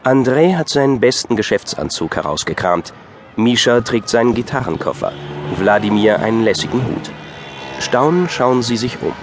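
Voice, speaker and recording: A man's voice: mid-range voice, big pitch swings, moderate pace, noisy background. A man narrating an audio drama from a script in a dryly wry storytelling manner. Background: music.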